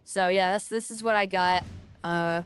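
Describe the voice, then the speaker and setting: A woman talking through her game as a streamer, rambling and filling her talk with "like" and restarts, with a loud voice. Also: lowish voice, fairly even intonation, measured pace, clear, resonant tone, faint music behind. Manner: rambling, lots of fillers and restarts. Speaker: gaming streamer. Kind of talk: casual game commentary.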